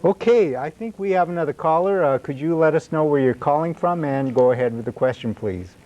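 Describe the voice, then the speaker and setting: A man's voice: a little high, expressive, brisk, darkish tone. A man hosting a call-in show, taking callers in a friendly way. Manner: friendly, repeats call-in prompts. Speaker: host. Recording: dull lo-fi broadcast recording.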